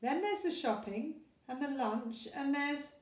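A woman's voice: high-pitched, lively intonation, a little slow, recorded from a distance, pitched above the performer's own voice. A woman voicing a tired, unwell mother elephant in a storybook. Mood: weary. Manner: tired, cross. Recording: roomy echo.

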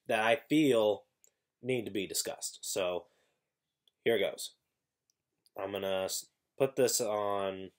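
A young man with a somewhat low voice talks casually into a webcam in a small room at home. He sounds congested from an irritated throat. He rambles, restarts sentences and keeps correcting himself. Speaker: YouTuber. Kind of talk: casual vlog talk to camera.

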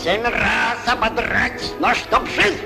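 An actor playing a pompous, commanding boa constrictor who barks orders in an old animated satire. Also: male voice, very high voice, slow, gravelly, full character voice. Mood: smug, commanding. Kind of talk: 1940s Soviet animated satire acting. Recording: old film soundtrack with music.

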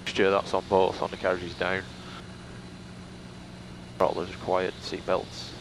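A man's voice: sits low, completely flat delivery, slow, gravelly. A man in his twenties, a pilot making radio calls and talking tersely through a headset in a small plane's cockpit. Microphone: aviation headset boom mic. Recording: aircraft headset intercom with engine noise.

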